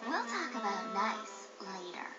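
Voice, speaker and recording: A woman's voice: mid-range voice, big pitch swings, measured pace. A woman playing a sassy, flirty female car mechanic in a video game, teasing and sure of herself. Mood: teasing, confident. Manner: teasing, confident. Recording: dull game audio, music behind.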